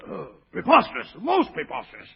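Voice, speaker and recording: An actor playing a pompous, flowery pool-hustling judge in a 1930s radio comedy, hemming and stammering grandly. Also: male voice, sits high, wildly swooping pitch, a little fast, vocal fry, slightly rough, full character voice. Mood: indignant. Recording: muffled, reverberant old radio recording.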